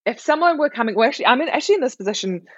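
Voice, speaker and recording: A woman's voice: high voice, lively intonation, very fast, slightly rough, no echo, lo-fi sound. A young woman with a New Zealand accent, a comedian chatting as a guest, laughing often and restarting her sentences. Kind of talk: casual podcast interview.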